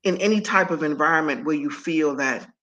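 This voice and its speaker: Deep-voiced woman from Antigua, bright tone. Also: brisk, muffled recording. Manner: animated, emphatic and expressive. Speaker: guest speaker and coach. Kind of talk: conversational interview.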